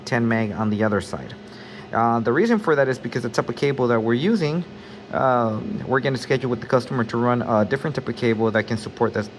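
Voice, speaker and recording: Male installer, mid-range voice, informal and explanatory, talking viewers through a job with light work-site noise behind. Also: medium pitch. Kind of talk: casual on-site walkthrough. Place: work site, partly outdoors. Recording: noisy job-site ambience, vehicle noise.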